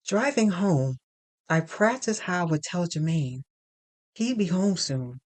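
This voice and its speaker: Slow, expressive woman narrating audio drama. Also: lowish voice. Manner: expressive, deliberate storytelling. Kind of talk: first-person dramatic scripted narration.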